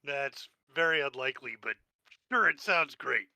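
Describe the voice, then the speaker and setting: Very bright, sharp-voiced man. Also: medium pitch, expressive, normal speed, creaky, no echo. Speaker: tabletop roleplaying player. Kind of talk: casual third-person roleplay narration.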